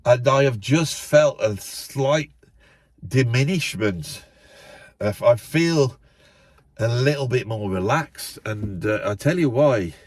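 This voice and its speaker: A man ranting into a camera in his parked car, loud and emphatic, repeating himself, his nose a little blocked up. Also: mid-range voice, animated, slow pace, dry recording. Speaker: YouTube channel host. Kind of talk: impassioned monologue to camera. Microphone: camera set up in the car.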